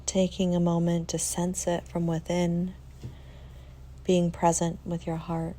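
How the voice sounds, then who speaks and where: A woman leading a guided meditation in a soft, soothing way, leaving long pauses between phrases. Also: fairly low pitch, completely flat delivery.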